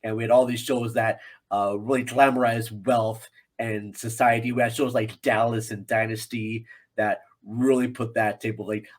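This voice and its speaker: An American man talks in a casual, rambling way as a guest commentator on a film podcast. His voice is husky and sits at an ordinary male pitch, and he repeats phrases to drive a point home.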